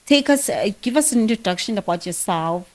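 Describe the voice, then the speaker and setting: A woman's voice: medium pitch, animated, a little fast. Eager South African woman host. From KwaZulu-Natal, South Africa. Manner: enthusiastic, rambling questions. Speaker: podcast host. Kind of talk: conversational interview.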